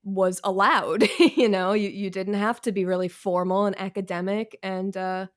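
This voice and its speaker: A woman author speaks as an interview guest in a conversational, informal way. Her pitch sits in the middle for a woman. She is candid about herself, with a wry, self-deprecating turn to her phrasing. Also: fairly even intonation, moderate pace. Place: cluttered room at home, on a video call. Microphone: video call.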